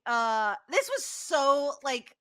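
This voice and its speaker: A woman's voice: high voice, big pitch swings, extremely slow, a bit bright. A woman co-hosting a casual podcast chat, talking in an emphatic, slangy way. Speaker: podcast co-host. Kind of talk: casual chat about a TV episode.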